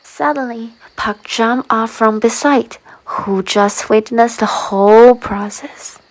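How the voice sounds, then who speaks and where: A woman's voice: on the high side, slow, poor audio. A woman reading scripted story narration as the narrator of a radio drama.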